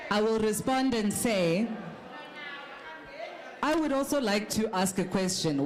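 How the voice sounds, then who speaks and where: A South African woman giving an emphatic political speech at a town hall, pressing her points with rhetorical questions. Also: medium pitch. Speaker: political party representative on a panel. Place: large hall at a public town hall. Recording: noisy hall with loud crowd. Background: audience noise and chatter.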